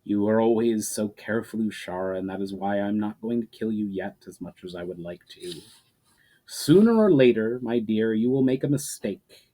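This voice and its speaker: A powerful, menacing man from a fantasy audiobook, acted out by a male reader. He is coolly patient and condescending, and he delivers his threats in measured, formal phrases. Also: on the low side, mellow, natural voice, low-quality recording. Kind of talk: dramatic fantasy audiobook reading.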